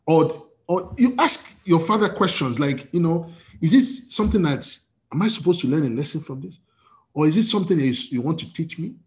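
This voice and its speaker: A man's voice: fairly high pitch, expressive, brisk. A male pastor leading an interactive sermon over a video call, talking with many repeated restarts and emphatic rising bursts.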